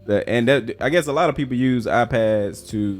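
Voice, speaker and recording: Guy doing a casual interview, kinda halting questions, average pitch, music in the background. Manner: informal, halting questions, brief affirmations.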